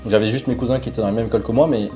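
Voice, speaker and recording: Young man, Portuguese native, relaxed chatty storyteller with a dark, mellow tone over faint music. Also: fairly low pitch, very fast. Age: young adult. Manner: relaxed, animated storytelling with frequent fillers. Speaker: interview guest. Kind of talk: casual conversational storytelling.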